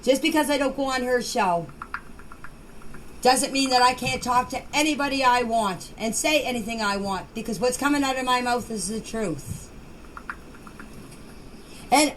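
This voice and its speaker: A woman of middle age or beyond talks in a somewhat high voice, as if leaving voice messages. She is insistent and defensive, circling back on her points and tripping over words. The homemade recording carries faint noise. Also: fairly bright tone. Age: middle-aged or older. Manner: defensive, insistent, repeating herself for emphasis; halting, stumbling over words and names. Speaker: member of an online group chat sharing voice messages. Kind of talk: casual unscripted voice messages. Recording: noisy amateur voice message recording.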